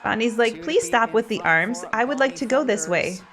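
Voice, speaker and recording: A woman with a fairly high voice gives casual sports commentary with a clearly audible crowd behind her. She is playful and expressive, chatting through the action and speaking for the players as she goes. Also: moderate pace. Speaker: roller derby commentator and former player. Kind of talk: casual game rewatch commentary. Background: roller derby game crowd.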